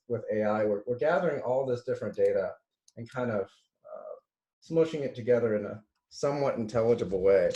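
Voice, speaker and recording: A man lectures in an informal, halting way, restarting phrases and checking that listeners follow. His voice sits at a medium pitch and carries noticeable room echo, like a class held over a video call. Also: warm tone. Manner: halting, with self-corrections and checking "right?". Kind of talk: informal slide lecture with live demos.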